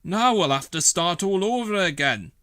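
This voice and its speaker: A man's voice: high-pitched, lively intonation, moderate pace, a bit bright, clean tone, no echo, studio quality, voice pushed up. A man playing an earnest, anxious and kindly fireman in a children's storybook reading. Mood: despairing.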